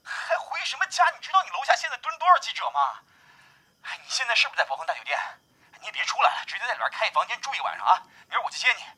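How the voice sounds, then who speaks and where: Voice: medium pitch, wildly swooping pitch, very fast, pushed and bright, a little hiss or hum, heavily acted, rough recording. An actor playing a flustered, scolding talent agent, urgent and nagging, heard through a telephone filter. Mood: exasperated, urgent. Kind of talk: audio drama acting. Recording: thin, rough telephone sound.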